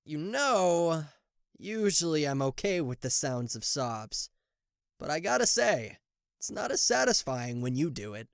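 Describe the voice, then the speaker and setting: A man's voice: a little high, sing-song, unhurried, gravelly, clean tone, no room sound, studio quality, exaggerated voice. A man playing a cocky, sarcastic devil and fallen angel in a roleplay audio, with a theatrical, teasing manner that slips into flustered stammering. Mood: grudging, resigned. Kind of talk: roleplay audio acting addressed to the listener.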